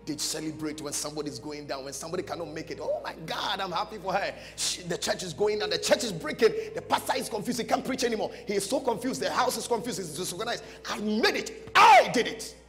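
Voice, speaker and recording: A man's voice: high voice, lively intonation, quick pace, few pauses, a bit bright. A man, preaching a dramatic storytelling sermon emphatically, repeating short phrases. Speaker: pastor preaching. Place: large church stage before a seated audience. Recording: music behind, long hall reverb.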